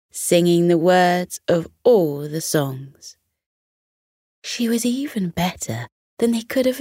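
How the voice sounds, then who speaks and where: A woman tells a children's bedtime story at a very slow pace. Her voice sits slightly low, recorded close and dry. The delivery is gentle and expressive. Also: no echo.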